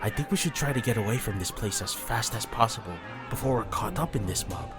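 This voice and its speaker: A man's voice: on the low side, animated, brisk, natural voice. A man voicing a cautious, clan-minded dwarf in a fantasy storybook, formal, emphatic and pragmatic. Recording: narration over loud background music.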